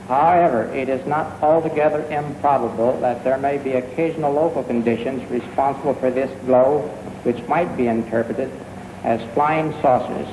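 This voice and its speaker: A middle-aged man giving a scripted scientific explanation, formal and measured. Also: mid-range voice. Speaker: physicist. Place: laboratory. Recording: echoey, noisy old film sound.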